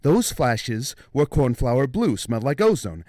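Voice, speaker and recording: Guy acting as nerdy creature researcher in an audio drama, halting and rattled, pitch jumps around, very dry close sound. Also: big pitch swings, dark tone, dry recording. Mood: baffled wonder. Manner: halting, stammering, nervous chuckles. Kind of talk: audio drama field transmission.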